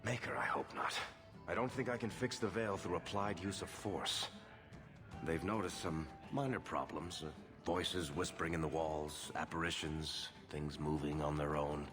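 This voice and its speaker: A man's voice: rumbling low, moderate pace, big-room sound, music in the background. A man playing a roguish, easygoing middle-aged dwarf in a fantasy video game, with a wry, casual delivery. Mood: wry, uneasy.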